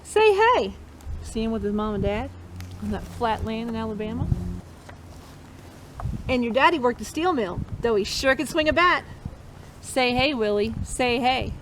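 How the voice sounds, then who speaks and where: Woman, high voice, interactive picture-book read-aloud for kids, encouraging; slightly noisy recording. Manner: encouraging, chanting refrains for listeners to repeat. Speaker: storytime host reading a picture book to children.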